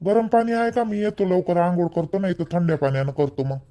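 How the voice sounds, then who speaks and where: A man's voice: sits high, expressive, quick pace, mellow, slight background noise. A man voicing a blunt, demanding young married son in an amateur village cartoon, with a Vidarbha Marathi accent. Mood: hungry, impatient.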